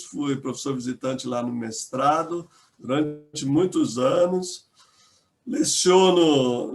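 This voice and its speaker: An older man from São Paulo with a paulistano accent, a theologian and pastor answering interview questions in a measured way, pausing and restarting often. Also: fairly high pitch. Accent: Paulistano Brazilian Portuguese. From São Paulo, Brazil. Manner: measured, with frequent hesitations and restarts.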